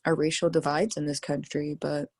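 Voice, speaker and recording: A woman's voice: sits low, level tone, moderate pace, darkish tone. A young woman hosting a casual podcast chat, talking in a thoughtful, earnest way. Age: young adult.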